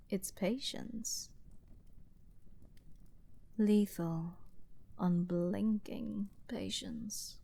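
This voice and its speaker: A woman's voice: medium pitch, a little mellow. A woman playing an arrogant, flirty, dominant princess in a dramatic fantasy audio roleplay, with theatrical aristocratic phrasing.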